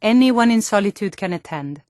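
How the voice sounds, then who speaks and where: A woman's voice: mid-range voice, expressive, normal speed, darkish tone, dry recording, close to an everyday voice. Woman acting a blunt female Nord guard, dry studio sound. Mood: wry, gossiping. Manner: blunt, guarded, plain-spoken. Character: stern, blunt female Nord city guard, fantasy warrior. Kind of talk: video game character voice lines.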